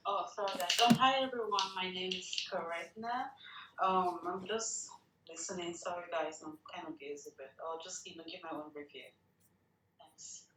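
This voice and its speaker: Woman, somewhat low voice, casual apologetic table read participant, roomy recording. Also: normal speed, fairly bright tone, slight background noise. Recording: echoey, roomy sound.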